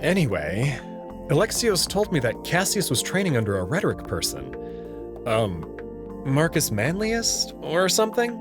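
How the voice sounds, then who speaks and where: A man's voice: fairly high pitch, huge pitch swings, normal speed, over music. A man voicing a bashful, wry young man in a visual novel, casual and a little hesitant. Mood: curious, concerned.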